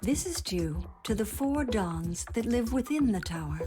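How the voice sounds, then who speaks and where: A woman's voice: on the high side, animated, a little slow. A woman voicing an informative guide who reads scripted narration of a video game's lore. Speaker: narrator introducing game lore. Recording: music behind the voice.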